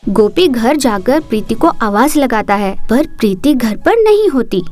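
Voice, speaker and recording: High-pitched lady storyteller, steady and expressive, cartoon tale. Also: unhurried, soft background music. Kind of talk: scripted cartoon story narration.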